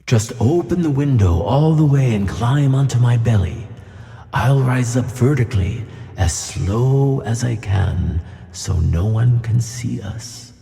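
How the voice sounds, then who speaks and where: A man's voice: mid-range voice, lively intonation, slow pace, gravelly, warm tone. A man playing a kindly, reassuring magical tuna fish who flies, in a children's audio play. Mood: calm instructing. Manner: reassuring, tender. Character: gentle flying tuna fish, male, kindly magical friend. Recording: long reverb on the voice.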